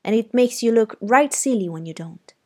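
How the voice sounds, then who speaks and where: A woman's voice: on the high side, lively intonation, moderate pace, pitched above the performer's own voice. A woman reading aloud voices an earnest teenage boy who talks to his younger sister in an eager, confiding way.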